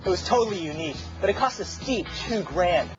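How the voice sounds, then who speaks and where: A man's voice: high voice, animated, fairly quick. A man presenting a scripted television tech segment, heard on dull archived broadcast audio. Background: music.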